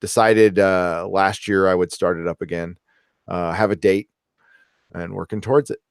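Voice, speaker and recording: A man in his forties with a lowish voice hosts a casual podcast, laughing often and swearing. The sound is dry and close, with no room around it. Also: steady tone, normal speed, vocal fry, clean tone, dry recording. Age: 40s. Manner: laughs often, loose and profane. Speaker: podcast host. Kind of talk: casual chat.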